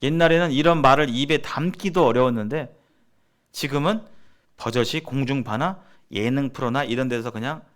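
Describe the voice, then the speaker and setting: A man's voice: mid-range voice, moderate pace, fairly bright tone. A man giving a sermon-like seminar lecture as a preacher, speaking emphatically and repeating phrases for stress. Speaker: preacher leading a church seminar.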